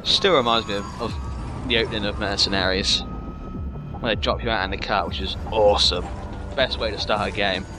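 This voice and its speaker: Low-voiced man chatting over game music. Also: steady tone, brisk. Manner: rambling, jokey, sweary. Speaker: gaming video host. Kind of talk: casual let's-play commentary.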